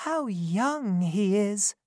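A woman reading a storybook and voicing a kind, gentle young woman who speaks softly and pleadingly. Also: mid-range voice, animated, extremely slow, dry recording, clean studio sound, voice pushed up. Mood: tender.

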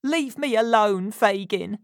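A woman's voice: medium pitch, slow pace, dry recording, clean studio sound, voice pushed up. A woman voicing a weary, bitter young woman in a storybook.

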